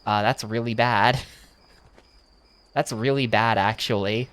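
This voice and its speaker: A man's voice: fairly low pitch, steady tone, slow, fairly bright tone, slight background noise. A young man from the United States commenting casually on a game as he plays, with dry, joking asides. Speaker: video game streamer.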